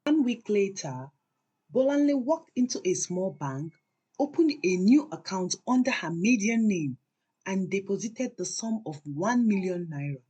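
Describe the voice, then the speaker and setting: A woman narrating a scripted folktale as a storyteller, in a deliberate, dramatic way with pauses. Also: medium pitch, sing-song, warm tone, husky, lo-fi sound.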